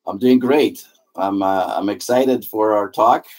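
A man's voice: fairly low pitch, measured pace, a little mellow. A middle-aged man from Greece, a guest on an interview podcast, answering in a friendly, talkative way with frequent fillers. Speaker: guest, happiness author and speaker.

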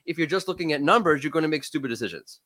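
A man's voice: a little high, lively intonation, sharp tone. Man in his thirties with a cold. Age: 30s. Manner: emphatic, quick, frequent self-restarts. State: has a cold, voice cracking. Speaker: podcast guest, SEO expert. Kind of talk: casual expert discussion.

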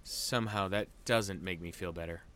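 A man playing a hapless, literal-minded office salesman in a comic audio play, bemused and deadpan in his humour. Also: low-pitched, normal speed, a little hiss or hum. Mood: resigned, wry.

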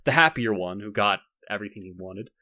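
A young man co-hosting a podcast, reminiscing casually in a halting, rambling way with frequent repeated words. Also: on the low side, bright, forceful tone, no room sound. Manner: halting, repetitive, rambling delivery. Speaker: podcast co-host.

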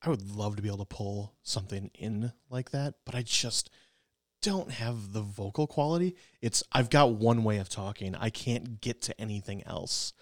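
A man from Wisconsin hosting a podcast, talking things through in a measured, thoughtful way and repeating words as he goes. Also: lowish voice, no echo, clean studio sound. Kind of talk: casual podcast chat.

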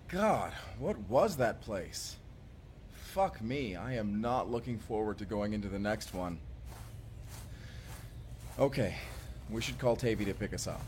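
A man playing an excitable, friendly companion in a science fiction audio roleplay, speaking casually and expressively. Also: medium pitch, slight background noise. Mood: relieved, excited. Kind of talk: immersive first-person audio roleplay acting.

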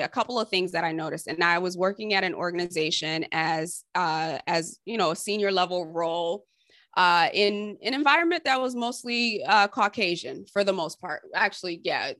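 Bright-voiced woman, thoughtful and rambling. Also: mid-range voice, no room sound, clean studio sound. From Haiti, raised in Connecticut. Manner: thoughtful, rambling, many fillers and restarts. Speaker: interviewee. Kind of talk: casual oral history interview.

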